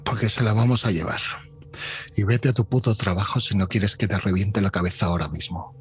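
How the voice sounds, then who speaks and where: A man's voice: fairly low pitch, steady tone, brisk. A man playing in a tabletop game over a video call, speaking bluntly and threateningly in character. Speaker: player.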